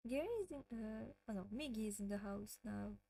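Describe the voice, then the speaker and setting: A woman's voice: mid-range voice, normal speed, creaky, warm tone. A woman from France with a French accent, hosting a casual livestream and chatting with viewers, often giggling. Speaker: livestream host.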